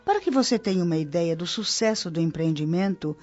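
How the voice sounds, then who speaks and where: Observant old nurse, Brazilian radio drama. Explanatory delivery, Brazilian accent, faint music, slightly muffled recording. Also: female voice, on the low side, animated, a little mellow. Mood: patient, explaining. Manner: explanatory, unhurried, instructive. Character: observant, patient old nurse, female spirit guide.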